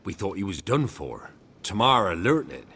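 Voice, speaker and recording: Animated, husky poor peasant. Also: male voice, sits low, moderate pace, heavily acted. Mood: earnest, grave. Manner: rustic dialect grammar, deferential, dramatic storytelling. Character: poor, humble peasant man, fearful and earnest storyteller. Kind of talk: video game voice acting.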